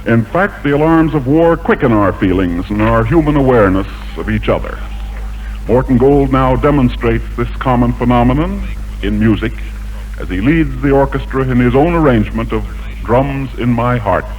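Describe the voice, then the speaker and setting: Formal 1940s radio host, dull vintage recording. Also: male voice, mid-range voice, lively intonation. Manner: measured, formal delivery.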